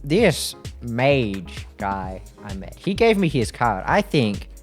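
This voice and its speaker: A man's voice: medium pitch, sing-song, slow, soft background music. A man chatting casually as a player in a tabletop game, playful and inquisitive, slipping into in-character banter.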